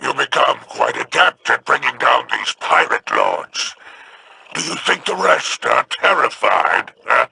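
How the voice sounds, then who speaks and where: A sly alien crime lord who drawls his wry, insinuating remarks. The voice is heavily gravelly with a bright, cutting edge and a slow pace. A faint hiss sits under the game audio. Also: medium pitch, expressive, exaggerated voice, low-quality recording. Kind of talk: video game voice acting.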